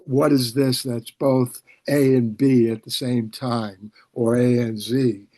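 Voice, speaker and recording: Casting: male host of a video-call interview. Medium pitch, dry sound, rambling, conversational phrasing. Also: steady tone, slow pace, warm tone, no room sound.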